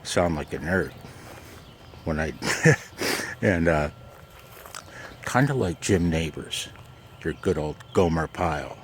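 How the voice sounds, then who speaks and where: A man with a very low, gravelly voice talks in an unhurried, rambling way. He is casual and self-deprecating, like someone chatting on a homemade vlog. He is recorded outdoors, with faint background noise behind him. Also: animated. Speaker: amateur radio vlogger. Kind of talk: casual chat. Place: outdoors in a backyard garden and deck.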